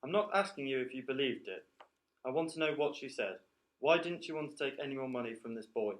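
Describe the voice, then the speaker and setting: A teenage boy plays a grave police inspector in a radio play. He is stern and insistent, mid-pitched, and sounds set back in an echoey room. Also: fast, natural voice. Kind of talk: school radio play acting.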